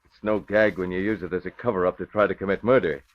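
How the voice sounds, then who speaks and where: A dull vintage radio recording of a man acting a resolute crime-fighting hero. The voice is low, decisive and commanding in a classic serial manner. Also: steady tone, fast talker, lightly acted. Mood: stern.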